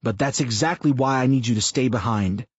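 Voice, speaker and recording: A man's voice: mid-range voice, a little fast, slightly rough, no echo, muffled recording, pitched above the performer's own voice, lightly acted. A man playing a weary, earnest father. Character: troubled, earnest middle-aged father.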